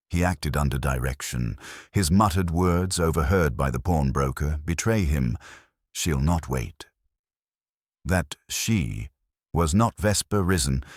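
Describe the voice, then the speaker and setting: A man narrating a scripted mystery story in a measured, dramatic, radio-drama storytelling manner. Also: extremely low pitch, dry recording, clean studio sound.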